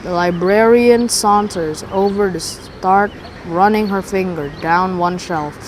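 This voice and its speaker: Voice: mid-range voice, takes it slowly. A school student, female-sounding, reading a story aloud in English. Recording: clearly audible background noise.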